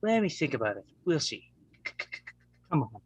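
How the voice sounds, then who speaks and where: A man's voice: medium pitch, big pitch swings, a little mellow, husky, no echo. Man reading as a stuttering, controlled young mafia boss. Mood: placating. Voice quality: stutter on repeated consonants. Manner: halting, deliberate. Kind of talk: live table read of a crime film script.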